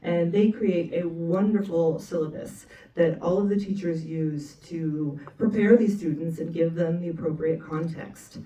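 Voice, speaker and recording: Female program organizer on a panel, halting and conversational, distant mic with faint background noise. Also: lowish voice, steady tone, warm tone, roomy sound. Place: panel event room with ambient noise.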